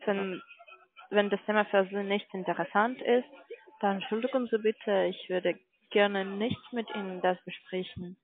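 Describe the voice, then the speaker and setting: A woman's voice: medium pitch, steady tone, measured pace. A woman on a sales call, formal, polite but firm. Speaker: call centre sales agent. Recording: narrow phone-line audio.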